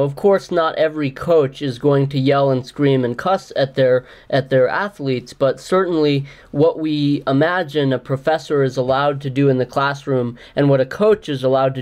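A man hosting a podcast, asking careful, measured follow-up questions. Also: fairly high pitch, a little mellow. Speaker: podcast host, interviewer. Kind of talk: interview questions.

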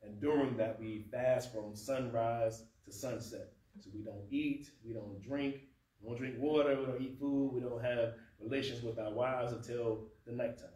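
A man in his thirties with a dry mouth from fasting, talking conversationally at a lectern in a carpeted prayer room. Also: medium pitch. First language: not Arabic. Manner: conversational, animated, gesturing at a lectern. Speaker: speaker teaching at a mosque. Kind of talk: informal teaching talk. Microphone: far camera. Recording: roomy, distant camera sound with faint hum.

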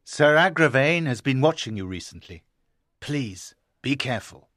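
An anxious, loyal knight, played by a man in a dramatised storybook. His voice moves with lively swings as he urgently cautions. The sound is dry and close. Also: mid-range voice, normal speed, no echo, close to an everyday voice. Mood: worried.

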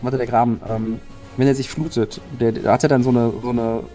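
A man's voice: mid-range voice, level tone, brisk, dark tone, soft background music. A man, a player in a tabletop strategy game, reasoning through tactics and asking rule questions. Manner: analytical, questioning. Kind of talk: strategy discussion.

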